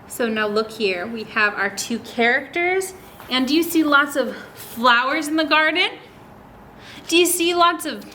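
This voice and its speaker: A woman's voice: on the high side, pushed and bright, a little hiss or hum. A woman hosting a story time for young children, reading aloud in a teacherly way and asking them lots of direct questions. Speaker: children's story time host at a public library.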